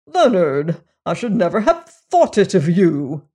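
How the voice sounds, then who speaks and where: A sanctimonious older churchman who lectures and scolds from a height of self-importance, as the reader puts him on. His voice sits at an ordinary middle pitch. He speaks with pious, condescending authority. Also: big pitch swings, a little slow, a little mellow, slightly rough. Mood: indignant. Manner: sanctimonious, lecturing, rising to indignant. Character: pompous older male clergyman, self-righteous and evasive.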